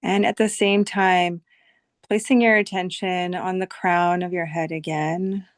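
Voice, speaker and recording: A woman leading a guided visualization meditation as a teacher, speaking soothingly in short phrases with long, unhurried pauses. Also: on the low side, little pitch movement, dry recording, studio quality.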